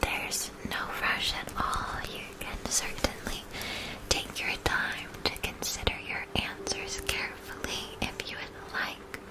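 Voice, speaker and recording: A woman whispering gently and unhurriedly, narrating a scripted ASMR fantasy roleplay in a breathy, unvoiced voice. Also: slow, rough recording.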